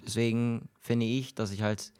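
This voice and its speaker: A young man from Syria whose first language is Kurdish, answering interview questions in a halting way, searching for words. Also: medium pitch, fairly even intonation, a little mellow, smooth. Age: young adult. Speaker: podcast guest.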